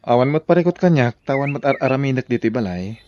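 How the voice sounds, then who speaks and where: A man's voice: mid-range voice, expressive, fast, darkish tone, no echo, dull audio, close to an everyday voice. A man acting an obliging, flirtatious married brother-in-law in an audio drama, with an Ilocano Filipino accent.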